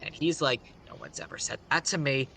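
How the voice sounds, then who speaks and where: A man's voice: mid-range voice, sing-song, a little fast, gravelly, background noise. A man telling a story casually on a live stream, rambling with conversational asides.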